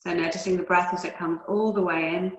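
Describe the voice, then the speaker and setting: A woman yoga teacher leading guided relaxation and breathing in a calm, soothing, unhurried way with long pauses. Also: fairly low pitch. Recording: roomy, echoey indoor sound.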